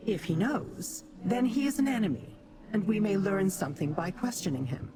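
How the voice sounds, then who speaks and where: A woman voicing a proud female demon warrior in a fantasy game, with a formal, imperious, deliberate manner. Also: mid-range voice, big pitch swings, natural voice. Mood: explanatory, resolute. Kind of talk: fantasy game quest dialogue. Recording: clear background noise, game ambience.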